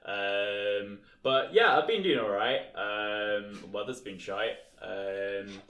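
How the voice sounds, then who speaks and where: A man's voice: on the low side, slow, a bit bright. A young man co-hosting a casual podcast, rambling with many fillers and restarts. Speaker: podcast co-host. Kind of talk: casual chat. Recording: echoey room.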